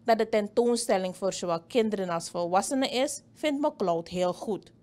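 A woman reading a scripted news report in a steady, even voice. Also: mid-range voice, normal speed.

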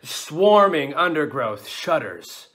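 A man's voice: on the high side, big pitch swings, slow pace, fairly bright tone, heavily acted. A man playing a defiant, dreamy young outlawed woodsman in a classical stage monologue, theatrical and swinging suddenly between musing and outcry. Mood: bitter.

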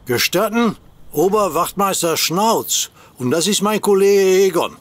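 Aufgeblasenen Wachtmeister, gemächlich und höher. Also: male voice, high-pitched, animated, unhurried, a little hiss or hum. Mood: pompous. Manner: pompous, bossy. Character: pompous, dismissive male police sergeant. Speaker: actor in an audio drama. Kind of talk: comedic audio drama acting.

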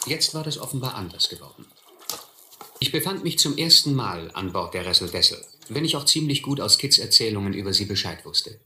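A middle-aged actor playing a reflective man who recalls his boyhood, narrating a radio play in measured, literary style. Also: fairly low pitch, very animated, pushed and bright, full character voice. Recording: echoey old recording, faint noise.

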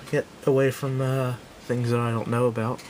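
Hesitant man reviewing gadgets, noisy recording. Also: fairly even intonation. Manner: hesitant, halting, self-doubting. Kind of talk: casual unscripted product review. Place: indoors at a tabletop. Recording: clear background noise with low rumble.

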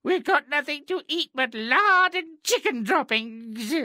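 A woman's voice: high voice, animated, a little slow, pushed and bright, dry recording, clean studio sound, heavily acted. A woman voicing a poor, anxious mother in a fairy-tale video game, melodramatic and fretful. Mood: scolding, despairing. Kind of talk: video game character acting.

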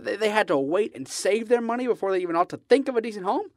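A high male voice , an actor's, for an earnest young idealist in a radio drama. He stammers and restarts, then pushes on with emphasis. The sound is very dry. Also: expressive, quick pace, no room sound. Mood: indignant, impassioned. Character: earnest, impassioned young man, stammering small-town idealist.

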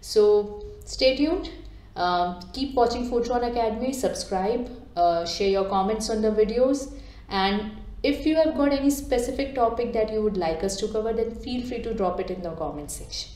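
A woman, working as a digital transformation coach, calmly explaining software settings step by step with frequent ums and uhs. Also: fairly high pitch, moderate pace. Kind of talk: screen-recorded software tutorial. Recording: echoey room.

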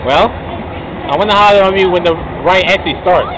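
A young man giving casual, halting commentary full of interjections while riding a fairground ride outdoors. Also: high voice. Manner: halting, interjection-heavy reacting. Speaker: amusement ride vlogger. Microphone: handheld camera at arm's length. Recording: loud fairground crowd and ride machinery noise.

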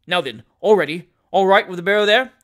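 A man voicing a bluff, impatient old country gentleman in a storybook reading, hearty and commanding. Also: high-pitched, brisk.

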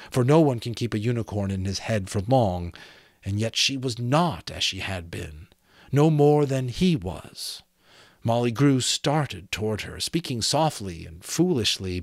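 A man in his thirties reads a fantasy novel aloud as an expressive, dramatic audiobook narrator, close to a desk microphone in a small room. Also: fairly low pitch, no room sound. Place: small home room with sloped ceiling, indoors.

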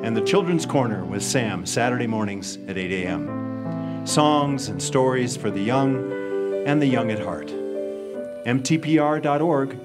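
Male radio promo announcer over loud music. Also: medium pitch, animated, unhurried. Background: loud guitar music.

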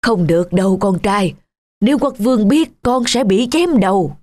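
A woman voicing a frail, wise old father in a cartoon folktale, giving counsel gently. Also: mid-range voice, animated, moderate pace, lightly acted. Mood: resigned, caring.

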